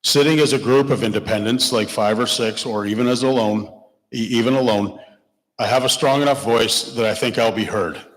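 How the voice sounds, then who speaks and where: A man's voice: medium pitch, moderate pace. A man running as an independent candidate, answering an audience question passionately and plainly. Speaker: election candidate. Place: church hall. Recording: long reverb of a hall.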